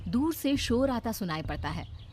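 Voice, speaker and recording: A woman's voice: on the high side, sing-song, normal speed, noisy background. A woman giving a scripted narration of a story.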